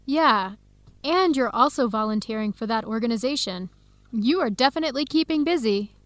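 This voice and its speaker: Woman playing determined young American woman, higher pitch, dry close sound, clear radio drama delivery. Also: animated, moderate pace, dry recording, close to an everyday voice. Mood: supportive, interested. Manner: clear, simple scripted sentences. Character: Helen, determined young American woman searching for birth family. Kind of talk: English-learning radio drama acting.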